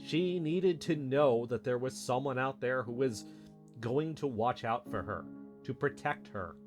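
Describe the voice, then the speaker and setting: A man's voice: medium pitch, measured pace, darkish tone, soft background music, lightly acted. A man playing a defensive, argumentative young man accused of betrayal in a naturalistic audio drama. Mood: insistent, protective.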